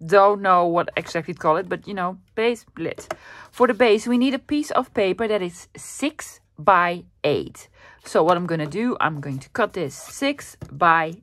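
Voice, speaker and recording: A woman from the Netherlands with a Dutch accent, hosting a casual, chatty paper craft tutorial at her desk and often pausing with fillers. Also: lowish voice, expressive, fairly bright tone, no echo. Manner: chatty, self-deprecating, with frequent fillers. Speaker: craft channel host.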